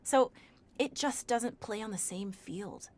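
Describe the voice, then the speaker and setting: A woman's voice: fairly high pitch, takes it slowly. A woman playing a thoughtful, exasperated researcher, articulate and opinionated, in a conversational audio drama. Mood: critical.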